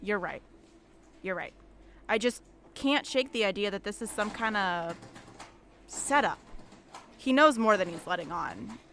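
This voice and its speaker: A woman's voice: mid-range voice, animated, measured pace, long pauses, fairly bright tone, slight background noise. A woman playing an earnest, guarded police interrogator in an audio drama, with a firm, businesslike and strained manner. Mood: uneasy, determined.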